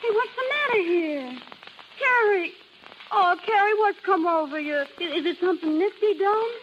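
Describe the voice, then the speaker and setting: Wisecracking carnival dame, muffled recording. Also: female voice, very high-pitched, expressive, normal speed. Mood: concerned. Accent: American. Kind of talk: 1930s radio drama acting. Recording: dull, noisy 1930s radio recording.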